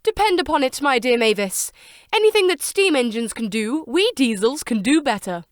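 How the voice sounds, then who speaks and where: A man's voice: very high-pitched, big pitch swings, moderate pace, pitched above the performer's own voice. A man voicing a prim, superior female diesel railcar in a storybook reading. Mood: haughty.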